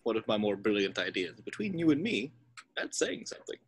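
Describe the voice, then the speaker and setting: A man playing a wise, gentle, elderly wizard headmaster in a fantasy screenplay read, speaking in a measured, deliberate way. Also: lowish voice, big pitch swings, a little hiss or hum. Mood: wry, solemn.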